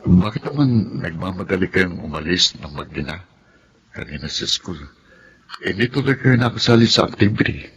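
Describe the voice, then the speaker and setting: Low-voiced Filipino father. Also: expressive, normal speed, fairly bright tone, a little hiss or hum. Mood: apologetic, earnest. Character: remorseful absent father seeking a second chance. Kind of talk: television drama dialogue.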